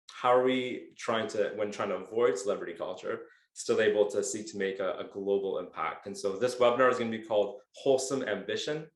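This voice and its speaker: A Canadian man hosting a webinar on a video call, earnest and hesitant with many fillers. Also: on the low side. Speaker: webinar host. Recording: echoey room.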